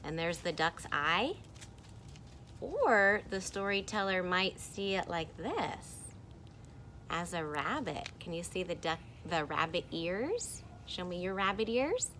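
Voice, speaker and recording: A woman teacher reading a picture book aloud to children, animated and encouraging, with playful sound effects. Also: lowish voice, slow pace, slight background noise.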